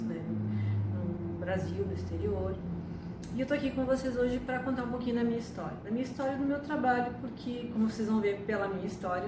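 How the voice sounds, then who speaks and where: Brief: woman, 50s, chatty storyteller, distant mic, noisy echoey room. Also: mid-range voice. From Argentina. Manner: chatty, frequent tag questions. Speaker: astrologer and psychotherapist. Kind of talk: personal storytelling to camera.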